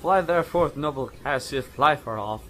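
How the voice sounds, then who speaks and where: A man's voice: fairly high pitch, expressive, moderate pace, a bit bright, slight background noise. A man playing an anxious, obedient bondman in an old-time radio Shakespeare drama. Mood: alarmed.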